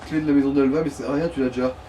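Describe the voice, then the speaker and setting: A man's voice: mid-range voice, little pitch movement, very fast, mellow. A man chatting casually over a video game as a let's player, with crude, slangy joking. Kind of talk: casual gaming chat. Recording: distant mic with clear noise.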